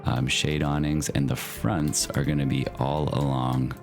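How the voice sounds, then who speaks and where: A man's voice: a bass voice, little pitch movement, unhurried, over music. A man hosting his own channel, giving easygoing, chatty commentary on a Minecraft build, with plenty of loose fillers. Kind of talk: casual gameplay commentary.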